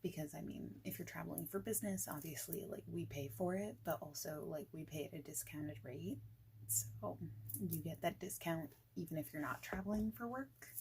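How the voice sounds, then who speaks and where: A woman speaking softly and breathily, often near a whisper, in an informal, reassuring ASMR boss roleplay. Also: low-pitched, moderate pace, slight background noise, low-quality recording. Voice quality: soft, breathy, often dropping toward a whisper. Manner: informal, reassuring, rambling chat. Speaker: ASMR creator playing a friendly boss in a job interview roleplay.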